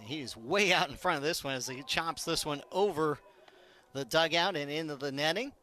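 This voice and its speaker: An American man calling live baseball play-by-play on the radio, measured between pitches and building to excited calls on big plays. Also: slight background noise. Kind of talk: live radio sports commentary.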